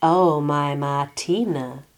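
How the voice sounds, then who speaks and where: Woman playing a sly, hissing lizard suitor. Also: low voice. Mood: oily, seductive. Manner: oily, hissing, drawn-out. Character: sly, slithering, predatory male lizard suitor.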